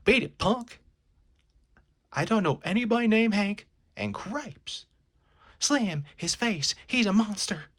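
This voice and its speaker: A hot-headed carnival tough performed by a man in a comic-book story. He blusters slangy threats in a raised, excitable pitch with big swings. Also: slow pace, pauses often, heavily acted. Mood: threatening.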